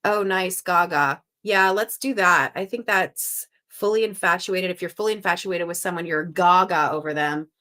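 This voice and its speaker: Bright-voiced, chatty Canadian woman host. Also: lowish voice, normal speed, hardly any pauses. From Canada (Montreal). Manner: upbeat, chatty, thinks out loud. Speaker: host of a live crossword stream. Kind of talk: casual live-stream chat, reading viewer guesses aloud.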